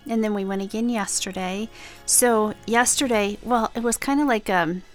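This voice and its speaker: Calm earnest woman co-hosting. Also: mid-range voice, moderate pace, soft background music. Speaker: podcast co-host. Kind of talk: casual chat.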